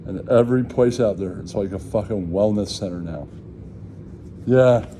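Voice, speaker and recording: A man's voice: lowish voice, moderate pace, dark tone, slight background noise. A man vlogging in a casual, rambling chat, swearing freely and repeating his catchphrases.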